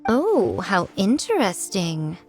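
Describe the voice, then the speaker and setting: A woman's voice: mid-range voice, huge pitch swings, slow, faint music behind. A woman voicing a sly, haughty shrine priestess in a video game, languid, teasing and condescending. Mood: amused. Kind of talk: animated video game dialogue.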